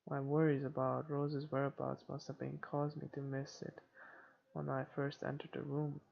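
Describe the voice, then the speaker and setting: A man reading first-person horror game narration aloud in a dramatic way, with some halting stumbles. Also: medium pitch, monotone, vocal fry, dull audio. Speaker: gamer reading a visual novel aloud. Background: game music.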